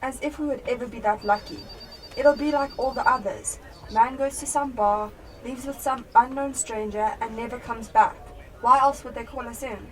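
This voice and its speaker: A woman plays a cynical female police detective in a student radio drama. Her voice is fairly high and brisk in manner, heard from a little distance from the microphone. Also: lightly acted. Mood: grim, cynical.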